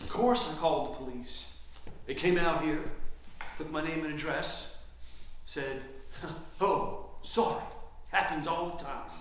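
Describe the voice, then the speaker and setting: High-pitched cantankerous older man, echoey hall. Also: a little slow, pauses often, a bit bright. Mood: bitter. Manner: grumbling, sarcastic, prone to profane outbursts. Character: cantankerous older man, sore-losing gin player, explosive temper. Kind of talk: naturalistic stage drama acting. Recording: very echoey room, faint noise.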